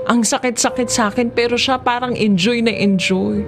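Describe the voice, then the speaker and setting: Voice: medium pitch, steady tone, normal speed. A narrator with a Filipino accent reading a scripted first-person drama story, audiobook style. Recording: music behind the voice. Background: background music.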